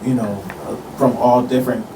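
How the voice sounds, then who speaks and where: A man's voice: medium pitch, fairly even intonation, moderate pace, warm tone, recorded from a distance. A man in his forties, a guest casually chatting and recounting things in an interview. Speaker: musician guest. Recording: roomy, off-mic, some background noise.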